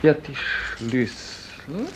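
Slow, timid clerk, noisy recording. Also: male voice, mid-range voice, big pitch swings. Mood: muttering, dutiful. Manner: hesitant, polite, repeating himself. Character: timid, dutiful, melancholy male office clerk. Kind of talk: 1950s German radio drama acting. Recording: roomy, very noisy vintage radio recording.

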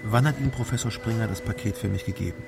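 A man playing a sober private detective, narrating and questioning in a measured way in a radio crime drama. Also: lowish voice, vocal fry. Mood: probing. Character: East Berlin private detective narrating his case. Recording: music behind the voice.

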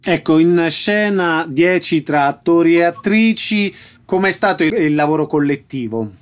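Higher-pitched male interviewer. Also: animated, normal speed. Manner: brief questions with attentive murmurs. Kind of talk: interview questioning.

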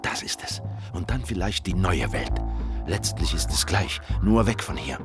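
A haunted fugitive rebel thinks aloud in a radio play. His voice is deep and intimate, brooding in broken phrases over prominent music. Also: very animated, measured pace, exaggerated voice. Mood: weary, urgent. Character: haunted fugitive ex-revolutionary man narrating his inner thoughts. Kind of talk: radio drama interior monologue. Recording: music behind the voice.

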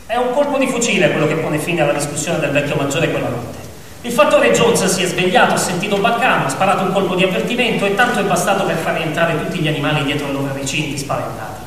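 A man's voice: fairly high pitch, expressive, quick pace, a bit bright. A man narrating a story as scripted drama, theatrical, in short emphatic phrases. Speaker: narrator of a radio play. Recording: very echoey hall, distant, noisy.

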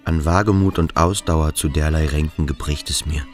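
An actor playing a stern, brooding boarding-school pupil who probes and commands in a German radio play. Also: male voice, very deep voice, steady tone, moderate pace, faint music behind. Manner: insistent, probing, commanding.

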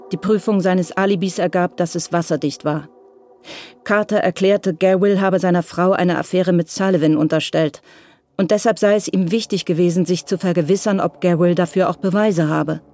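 Lowish female crime narrator over music. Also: moderate pace, darkish tone. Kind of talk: scripted crime drama narration.

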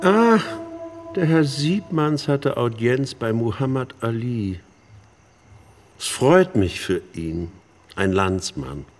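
An actor playing a sinister, smug older man in a radio play, speaking in a raspy voice with a deliberate, insinuating, condescending manner. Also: mid-range voice, animated, faint music behind. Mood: mocking. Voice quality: husky, raspy. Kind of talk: radio drama dialogue.

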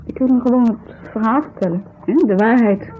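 A woman's voice: on the high side, fairly quick, faint music behind, close to an everyday voice. An actress playing a distraught, indignant woman in a 1970s Dutch radio drama, speaking with agitated, bitter emphasis. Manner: agitated, emphatic, bitter storytelling with tag questions. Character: distraught, indignant woman telling of her injured friend. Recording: muffled 1970s radio recording.

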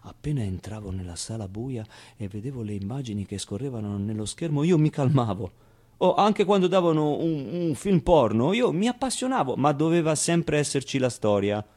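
An actor playing a wistful, streetwise man who recalls his orphaned boyhood in an expressive, colloquial theatrical monologue. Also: mid-range voice, breathy edge. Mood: nostalgic. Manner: expressive, clownish, with pauses and repeated phrases. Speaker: stage actor. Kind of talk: theatrical monologue, colloquial first-person storytelling.

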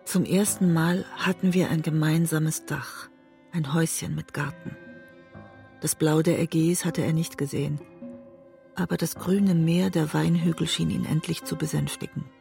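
An actress playing a weary, emotionally raw middle-aged woman in a radio drama, intimate and restrained, with a husky, breathy voice. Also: low voice, steady tone, normal speed, warm tone, soft background music. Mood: reflective. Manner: intimate, restrained, literary narrating. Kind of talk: radio drama narration and dialogue.

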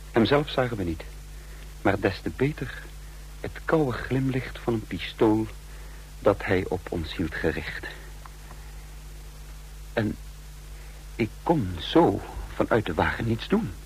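Through heavy noise on an old radio drama recording comes a composed male spy. He lays things out deliberately and calculatingly, as both narrator and character. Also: on the low side, expressive, rough recording. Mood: tense, hushed. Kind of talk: Dutch radio play acting.

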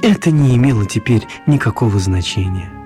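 A man narrating a children's radio story as a wry storyteller, expressive and mock-serious, with deliberate pauses. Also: lowish voice, soft background music, natural voice.

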